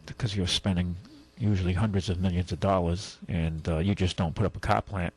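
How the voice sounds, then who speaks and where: A man with a low, husky voice hosts a radio show from a studio mic. He talks in a loose, rambling way, full of asides and restarts. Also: steady tone, fairly quick, darkish tone. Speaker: radio host. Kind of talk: casual talk radio monologue.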